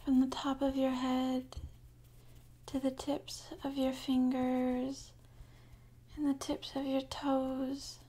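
Very slow, breathy soft-spoken woman. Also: high-pitched, completely flat delivery. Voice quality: soft, breathy, half-whispered. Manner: gentle, soothing, unhurried with long pauses. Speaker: ASMR host guiding a sleep meditation. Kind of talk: soft-spoken ASMR guided meditation.

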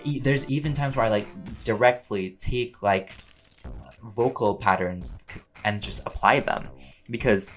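A man's voice: on the low side, measured pace. Playful, chatty man over background music. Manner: playful, chatty, laughs often, animated emphasis. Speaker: voice actor answering listener questions. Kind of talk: casual rambling Q&A.

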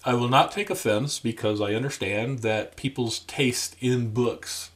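Older guy chatting to camera at home, slow and halting, kinda dry, medium pitch. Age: older, about 60s. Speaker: memoir author addressing viewers. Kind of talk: casual talk to camera. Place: small room at home, indoors.

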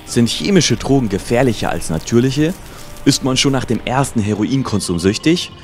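A man's voice: mid-range voice, animated, normal speed. A man reading a scripted voice-over introduction for a show, posing questions as a narrator. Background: music.

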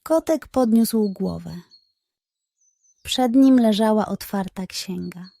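A woman's voice: mid-range voice, slow, gravelly, dark tone, dry recording. A woman narrating a scripted children's bedtime story, telling it carefully and expressively. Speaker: narrator of children's audio stories.

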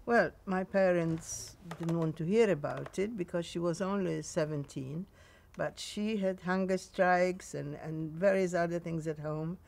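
A woman in her nineties with a Polish accent, recounting memories in a halting way in a sunny garden. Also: on the low side, gravelly, darkish tone. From Poland. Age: 90s. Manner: halting, with frequent pauses and restarts. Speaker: interviewee.

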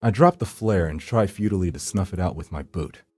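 A man's voice: sits low, expressive, a little fast, darkish tone, slightly rough, dry recording. A man reading first-person horror narration for a visual novel in a brooding, theatrical storytelling manner.

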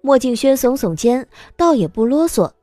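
Woman narrator, highish mellow voice, expressive, dry recording, scripted audiobook storytelling. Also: normal speed, no room sound, faint music behind.

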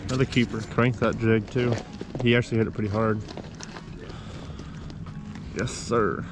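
A man's voice: fairly low pitch, fairly even intonation, normal speed, noisy background. A man giving casual on-the-spot commentary as host of a fishing video, recorded outdoors on an action camera. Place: outdoors on a frozen lake while ice fishing. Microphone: action camera worn on the body.

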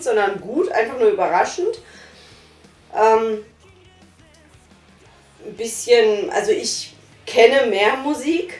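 A woman in her thirties giving a casual, thoughtful review on a sofa, pausing often with fillers. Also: mid-range voice. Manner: thoughtful, hesitant with many fillers. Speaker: podcast reviewer. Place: small living room, on a sofa. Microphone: far camera. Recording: echoey room from far off.

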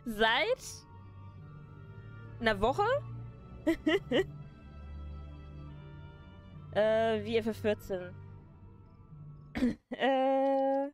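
A woman's voice: on the high side, lively intonation, extremely slow, pauses often, soft background music. A young woman streaming a game from her room, chatting casually and giggling often. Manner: giggly, laughs often between remarks. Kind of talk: casual gameplay livestream commentary.

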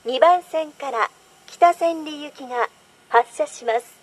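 A woman's voice: high-pitched, takes it slowly. A woman making formal, carefully enunciated recorded train platform announcements as a station announcer.

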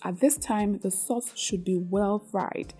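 A woman's voice: medium pitch, slow pace, dark tone, soft background music. A woman hosting a cooking tutorial, addressing viewers directly in a scripted, presenter-like way. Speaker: cooking show host.

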